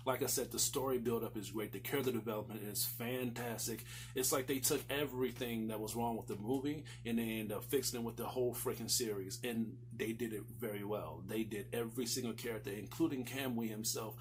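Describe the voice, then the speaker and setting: Male reviewer, mid-pitched, informal and profane, emphatic rambling delivery, faint noise and hum behind. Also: steady tone, fairly quick, hardly any pauses. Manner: emphatic, rambling, repeats himself for stress. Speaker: anime series reviewer. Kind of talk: casual, profanity-laced review commentary.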